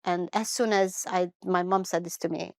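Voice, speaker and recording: A woman's voice: lowish voice, fairly even intonation, moderate pace, no echo. A middle-aged woman from Hungary, telling her life story as an interview guest in a reflective way, often restarting and correcting herself. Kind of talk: personal life story told in conversation.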